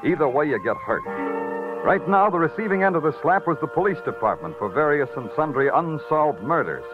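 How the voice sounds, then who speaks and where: An actor playing a world-weary police lieutenant with an American accent, in wry, hardboiled 1940s radio noir style. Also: male voice, fairly high pitch, animated, a little fast, lightly acted. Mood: wry, brooding. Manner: wry, dramatic, hardboiled delivery. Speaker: radio detective lead actor. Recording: dull vintage radio recording with prominent music.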